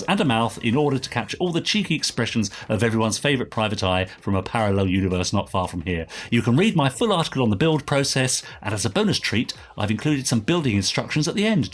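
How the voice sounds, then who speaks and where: Animated British man co-hosting a podcast. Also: medium pitch. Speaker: podcast co-host. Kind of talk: casual podcast chat, reading out listener messages.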